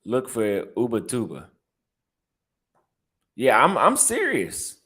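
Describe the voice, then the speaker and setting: A man's voice: medium pitch, wildly swooping pitch, takes it slowly, sharp tone. A young man with a Texas accent, chatting casually in a vlog and reacting aloud as he browses apartment listings, repeating his phrases. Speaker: vlogger and barber.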